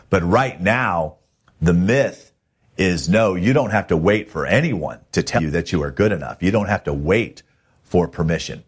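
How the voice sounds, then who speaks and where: Male podcast host, very low register, husky tone. Emphatic, plain-spoken motivational advice. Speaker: podcast host, music manager.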